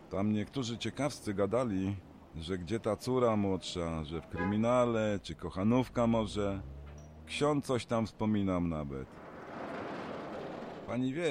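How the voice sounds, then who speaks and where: A man's voice: fairly low pitch, a little mellow, slight background noise. An actor playing a gossipy, awkward elderly male neighbour, rambling and hesitant in plain folksy talk, in a radio drama. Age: older. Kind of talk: naturalistic radio drama acting.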